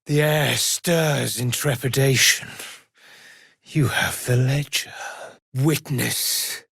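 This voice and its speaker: A man's voice: mid-range voice, fairly even intonation, slow, gravelly, a bit bright, slightly rough, no room sound, studio quality, full character voice. A man playing a solemn, menacing necromancer judge hungry for vengeance in a fantasy video game, speaking formally and theatrically in archaic phrases. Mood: calm, formal.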